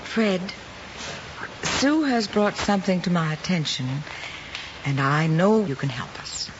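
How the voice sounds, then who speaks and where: Composed lady teacher in an old drama, measured and tactful, dull sound, noisy. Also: on the low side, lively intonation. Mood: calm, probing. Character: composed, probing female schoolteacher, concerned and fair.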